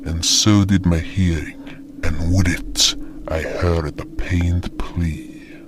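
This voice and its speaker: A man playing a somber fantasy guardian, narrating his story gravely and deliberately in an audio drama. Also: deep voice, steady tone. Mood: dazed, grave. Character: somber male fantasy guardian recounting a doomed hunt. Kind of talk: scripted first-person story narration. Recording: noisy background ambience.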